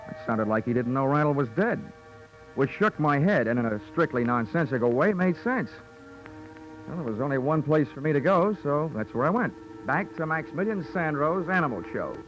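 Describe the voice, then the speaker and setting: Actor as wry hard-boiled American radio detective. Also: male voice, a little high, moderate pace, lo-fi sound, soft background music, close to an everyday voice. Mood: wry narration. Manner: dry wit, deadpan. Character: wry, hard-boiled male insurance investigator. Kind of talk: 1940s radio drama, first-person detective narration. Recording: old 1940s radio broadcast recording.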